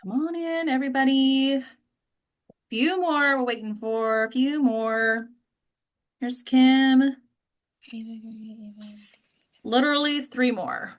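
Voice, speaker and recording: Female host for an online actors' meetup: American, fairly high voice, effusive and casual. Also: takes it slowly, pauses often. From Texas, United States. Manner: chatty, effusive. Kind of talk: casual welcoming chat to a group. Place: online video call. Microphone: video call.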